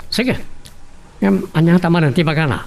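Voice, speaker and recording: A man's voice: fairly high pitch, normal speed, creaky, darkish tone. An actor with an Ilocano accent playing a worried, respectful grown man in an audio drama. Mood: uneasy. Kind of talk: Ilocano radio-style drama acting.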